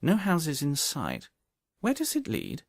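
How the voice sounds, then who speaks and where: Man reading as a precise, animated detective. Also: fairly high pitch, no room sound, clean studio sound, pitched above the performer's own voice, heavily acted. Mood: measured, analytical. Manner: measured, precise, explanatory. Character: calm, shrewd Victorian male detective, politely methodical.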